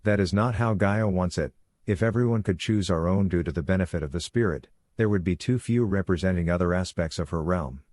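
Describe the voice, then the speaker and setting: A man voicing a calm, authoritative werewolf pack leader who lectures in a measured, instructive way for a fantasy audiobook. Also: deep voice, dry recording, studio quality, voice pushed down, lightly acted. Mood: firm instructive.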